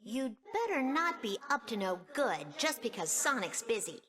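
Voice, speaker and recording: A woman's voice: a little high, very animated, moderate pace, sharp tone, in a large hall. A woman voicing an earnest, inquisitive young animal sidekick in a video game, asking pointed questions. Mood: suspicious, curious. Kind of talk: video game cutscene acting. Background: music.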